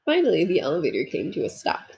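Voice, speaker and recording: A woman narrates a picture book for young children. Her voice is markedly husky with some fry, and her pitch moves in lively, expressive sweeps as she speaks to the listeners. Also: quick pace. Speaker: storybook reader. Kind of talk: children's read-aloud narration with asides.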